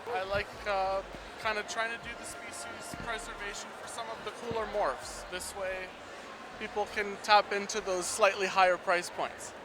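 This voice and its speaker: A man who breeds geckos answering interview questions at an expo in a hesitant, soft-spoken way with lots of fillers. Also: high voice, flat delivery, normal speed, background noise. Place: busy reptile expo hall.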